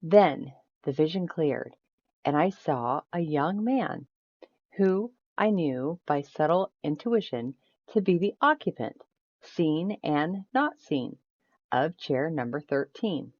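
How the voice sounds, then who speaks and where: A woman's voice: deep voice, expressive, slow pace, a little mellow. A woman reading a ghost story aloud as scripted storybook narration. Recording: roomy echo, slightly dull sound.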